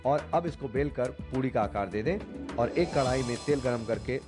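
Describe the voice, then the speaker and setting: A man reading out a recipe as a scripted voice-over, in an even, measured way. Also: mid-range voice. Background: music.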